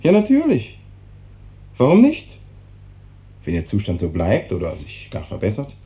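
An actor playing an evasive, hesitant hospital doctor in a 1950s German radio drama, on an old noisy recording. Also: male voice, lowish voice, huge pitch swings, unhurried, warm tone. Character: evasive, brisk hospital doctor, uneasy with hard truths.

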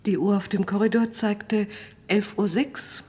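A deferential night nurse in an old radio crime play. She has a medium-pitched, breathy voice and reports carefully and precisely. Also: fairly even intonation, unhurried, a little mellow. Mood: factual. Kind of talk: vintage German radio crime drama acting.

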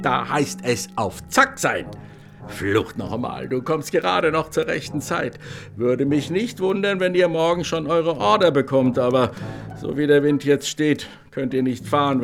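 An actor playing a loud, gruff, middle-aged naval father who curses and blusters heartily in a radio drama. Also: mid-range voice. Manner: blustering, hearty, swears often. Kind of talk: literary radio drama acting.

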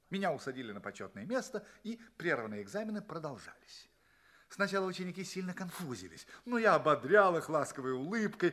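An actor playing a courteous space traveller from Earth, narrating his tale expressively and deliberately in an audio play. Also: a little high, sharp tone, exaggerated voice. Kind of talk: theatrical first-person audio play narration.

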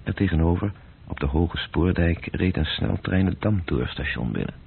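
A man narrating a literary radio drama in a measured, descriptive way, with a deep, gravelly voice. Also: expressive, full character voice. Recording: muffled narrow-band radio play recording.

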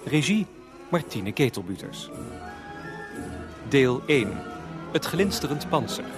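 A man's voice: mid-range voice, sing-song, slow pace. A man announcing the title and credits of a radio drama in a scripted style. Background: loud-ish music.

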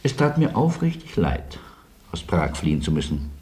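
An actor playing a courteous, ironic Polish-born refugee and sunny survivor in a German radio drama. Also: male voice, extremely low pitch, big pitch swings, normal speed, a little mellow. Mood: ironic, wistful.

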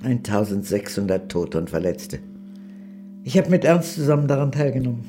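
An older actress playing a proud, worldly aristocratic communist ex-spy in a radio play, with a haughty, wry, theatrical manner. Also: female voice, extremely low pitch, sing-song, moderate pace, dark tone, soft background music. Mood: matter-of-fact.

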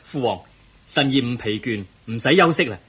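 A man's voice: mid-range voice, expressive, normal speed, fairly bright tone, slightly rough. A man playing an earnest, respectful nineteen-year-old prince in a Buddhist radio drama.

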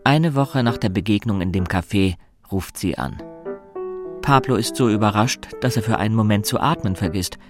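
Low-voiced male radio-play narrator. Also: moderate pace, over music. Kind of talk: scripted literary narration for radio drama.

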